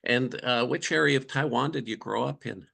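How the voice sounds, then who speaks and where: Gravelly bright male interviewer. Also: mid-range voice, moderate pace. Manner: friendly, prepared questions, some ums. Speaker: interviewer, editor. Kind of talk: interview hosting.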